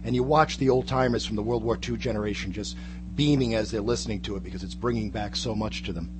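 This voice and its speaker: A man's voice: lowish voice, quick pace. A man chatting casually as a guest co-host, with hesitant, stumbling starts. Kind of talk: casual chat. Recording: clear background noise and hum.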